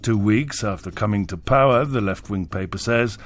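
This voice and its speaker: A man's voice: lowish voice, moderate pace. A man reading scripted news and press summaries as a radio newsreader.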